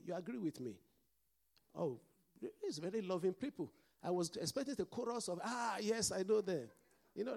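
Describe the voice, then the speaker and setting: A man's voice: high-pitched, vocal fry, darkish tone. A man with a mixed Nigerian and Scottish accent, preaching a sermon as pastor and calling out to the congregation for responses.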